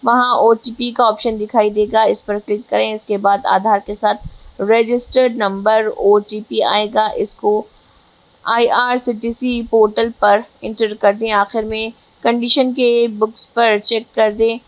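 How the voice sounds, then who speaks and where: A woman's voice: fairly high pitch, level tone, measured pace, rough recording. A woman news presenter reading scripted news in a formal read-out manner, with an occasional stumble.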